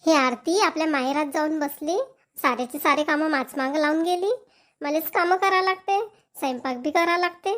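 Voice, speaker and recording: A woman's voice: high voice, normal speed, a bit bright. A woman voicing a chatty village wife in a family cartoon, with a Varhadi Marathi accent and a plain, homely manner. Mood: weary, complaining. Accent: Varhadi Marathi, Vidarbha.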